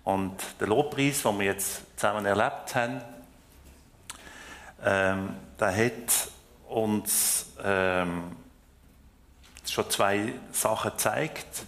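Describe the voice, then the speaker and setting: A man from France who grew up speaking French, with a Swiss German accent, preaching a sermon in a slow, hesitant way with fillers and restarts. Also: lowish voice, fairly bright tone. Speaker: lay preacher.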